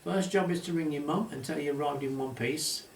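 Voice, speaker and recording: A jolly old fisherman grandfather from a nostalgic storybook , voiced by a man reading aloud. He is wry, fond and folksy, with a gravelly tone. The sound is echoey and somewhat distant. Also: a little high, a little fast, a little hiss or hum, pitched above the performer's own voice. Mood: wry, kindly. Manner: wry, folksy dialect talk. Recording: roomy, echoey, distant home recording.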